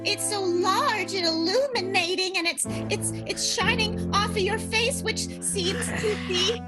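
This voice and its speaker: A woman's voice: very high voice, measured pace. A woman playing a sweet, innocent, timid ingenue who stammers hesitantly in an improvised radio play. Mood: wary, wondering. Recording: music behind the voice.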